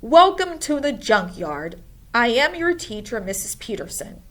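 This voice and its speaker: Storybook schoolteacher, played by a woman: stern, no-nonsense, emphatic, very measured pace. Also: fairly high pitch, very animated, a bit bright, pitched above the performer's own voice. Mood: brisk, commanding. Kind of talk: storybook character voice.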